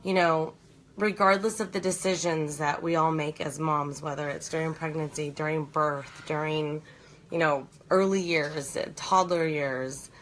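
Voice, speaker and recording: Slightly hoarse woman chatting from bed. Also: sits low, steady tone, measured pace, fairly bright tone, slight background noise. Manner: earnest, rambling, many fillers and restarts. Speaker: host of a live video challenge. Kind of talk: casual chat on a live stream. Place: in bed at home at night.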